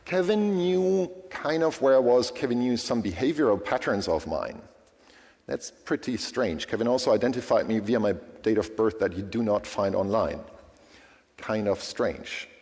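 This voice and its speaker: A man whose first language is German, giving a lively, conversational tech conference talk and often checking in with the audience. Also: on the low side, moderate pace. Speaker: conference speaker. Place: large conference hall. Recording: long hall reverb.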